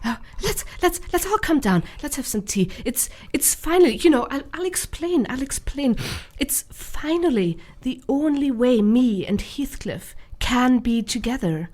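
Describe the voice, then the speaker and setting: Radio drama part, female performer: flustered, foul-mouthed young woman, high-pitched, very animated, stammering and repetitive. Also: a little slow, few pauses. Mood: flustered, placating. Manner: stammering, repeating herself, excitable.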